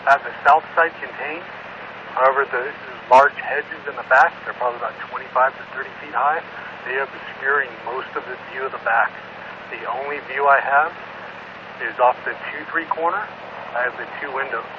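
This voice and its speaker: A man's voice: medium pitch, fairly even intonation, moderate pace. A man working as a police officer, giving a methodical, matter-of-fact tactical position report over radio. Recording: muffled, noisy police radio scanner audio.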